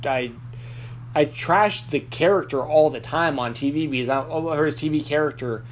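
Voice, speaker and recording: Rambling podcast host, slight hum. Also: male voice, fairly high pitch, normal speed. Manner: rambling, lots of likes and restarts. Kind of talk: casual wrestling chat. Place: Nashville.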